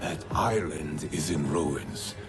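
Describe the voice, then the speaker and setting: An actor playing a grim villain's lieutenant in a video game cutscene, speaking in a measured, ominous way over music. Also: male voice, extremely low pitch. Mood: grave doubt. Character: grim male villain, a dark lieutenant counseling his mistress. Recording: loud background music.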